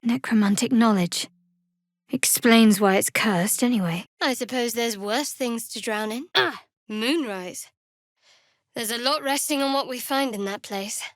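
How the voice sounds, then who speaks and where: A young cleric of a dark goddess played by a woman speaks in a somewhat high female voice. She is guarded and sardonic, with measured, wry phrasing suited to a fantasy video game. The sound is very dry, with no room around it. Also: no echo, lightly acted. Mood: wary, dry.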